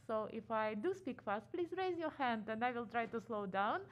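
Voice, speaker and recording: Woman, Ukrainian accent, conference presenter, slightly high-pitched, quick delivery, muffled through a mask. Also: expressive. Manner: fast-talking, frequent "uh" fillers. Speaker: conference speaker. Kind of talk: conference talk. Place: conference room.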